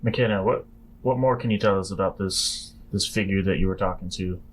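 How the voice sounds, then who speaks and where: A man's voice: deep voice, brisk, a little hiss or hum. A man playing a tabletop roleplaying game, talking in character tentatively and repeating words as he goes.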